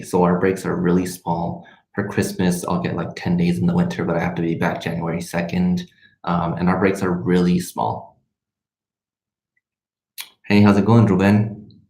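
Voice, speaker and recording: A man's voice: low-pitched, gravelly, mellow. A man in his twenties, a native Spanish speaker and medical student, chatting casually on a livestream and answering questions in a rambling way, trailing off mid-sentence. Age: 20s. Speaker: medical student and vlogger hosting a live question session. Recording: roomy, echoing sound.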